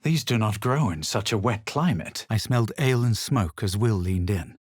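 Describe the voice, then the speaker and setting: A haughty young adventurer from a fantasy video game. His voice is on the low side with a slightly husky grain, measured and dryly superior, recorded very dry and close. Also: animated, darkish tone, no echo. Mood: brooding, grave. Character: haughty young male fantasy adventurer, self-assured, brooding. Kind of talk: video game voice acting, dramatic narration and remarks.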